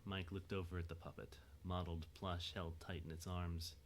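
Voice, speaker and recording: A low-voiced man narrates a story from a script. He reads as the narrator of an audio drama, with faint noise in the recording. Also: level tone, moderate pace.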